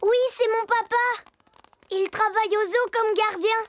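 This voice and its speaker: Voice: extremely high pitch, fairly even intonation, measured pace. A performer voicing an eager, curious young animal child in a children's cartoon dub.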